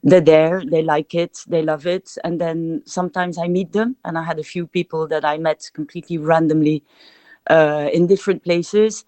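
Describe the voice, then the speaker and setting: A woman's voice: sits low, fairly even intonation, normal speed, mellow, dry recording. A young adult woman from Belgium, a DJ, talking reflectively in a podcast interview with many restarts. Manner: reflective, many restarts, speaks of feeling and energy. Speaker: DJ guest.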